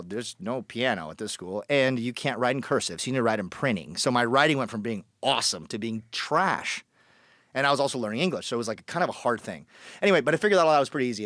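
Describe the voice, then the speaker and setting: A man's voice: medium pitch, a bit bright, dry recording, studio quality. A man from Colombia telling his story as a podcast guest, blunt and joking, acting out the people he quotes. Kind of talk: casual storytelling.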